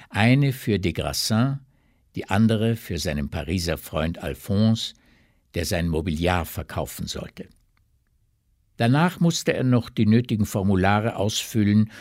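A man's voice: lowish voice, dry recording, clean studio sound. A man reading scripted literary narration as the narrator of a radio drama.

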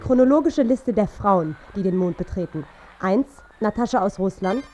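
A woman's voice: mid-range voice, animated, normal speed, mellow, lightly acted. A woman playing a solemn astronaut, declaiming formally in a radio drama. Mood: listing, formal. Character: solemn female astronaut of a feminist space corps. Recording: dull sound over music.

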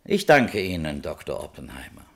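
Relentless prosecutor, pitch swinging widely. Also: male voice, sing-song, moderate pace, fairly bright tone, exaggerated voice. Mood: probing, formal. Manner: insistent, pointed, precise questioning. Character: relentless, probing male prosecutor at a hearing. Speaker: interrogating attorney. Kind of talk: German radio play, courtroom-style hearing.

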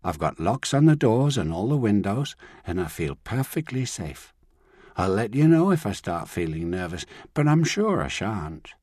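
A man reading an audiobook, voicing a calm, composed older woman and grandmother who talks in a measured, reassuring way. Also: lowish voice, dry recording, studio quality.

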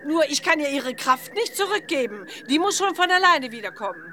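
Capable witch mother, high bright voice, assured delivery, children's audio drama. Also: very animated, brisk, heavily acted.

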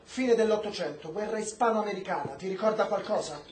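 Casting: male actor for impassioned, ironic Cuban man, radio drama, high voice, lecturing delivery, roomy echo. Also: a little fast, noisy background, close to an everyday voice. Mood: sardonic lecturing. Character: Miguel, impassioned Cuban man, sardonic and politically outspoken. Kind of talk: Italian theatrical radio drama dialogue.

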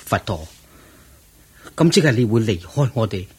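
An actor playing a devoted, anxious disciple in a Cantonese radio drama, with a Hong Kong accent and an earnest, deferential, breathy delivery. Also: male voice, lowish voice, big pitch swings, moderate pace, a little mellow, heavily acted. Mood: sad, anxious.

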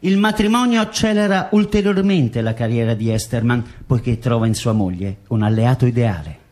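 A man reading scripted documentary theatre narration aloud with formal, deliberate delivery. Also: medium pitch, lively intonation, darkish tone.

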